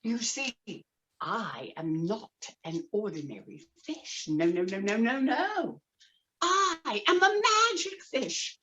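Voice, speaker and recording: A high, pleading magic fish, voiced by a woman telling a storybook tale to children. He begs and frets with swooping, dramatic lines. The sound is a little dull. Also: big pitch swings, slow pace, a bit bright, heavily acted. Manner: begging, dramatic.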